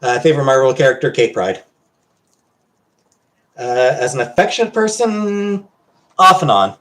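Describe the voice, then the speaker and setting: A man with a Canadian accent chatting casually on a livestream as he answers questions, halting and hesitant, often trailing off. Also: medium pitch, lively intonation, fairly bright tone. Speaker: livestream host.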